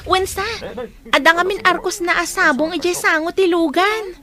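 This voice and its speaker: A woman's voice: very high-pitched, moderate pace, crowd noise. A woman acting an affectionate young daughter in a radio drama, playful and easygoing. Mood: chatty.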